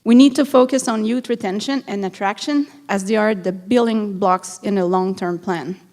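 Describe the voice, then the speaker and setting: A woman's voice: medium pitch, moderate pace, darkish tone. A woman from Montreal with a Quebec French accent, reading a prepared speech as a candidate at a city council debate. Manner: earnest, reads a prepared statement.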